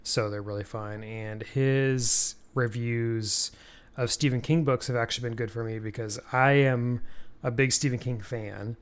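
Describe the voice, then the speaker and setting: A man's voice: mid-range voice, fairly even intonation, measured pace, clear, resonant tone. Easygoing man co-hosting a podcast. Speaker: podcast co-host. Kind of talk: casual chat.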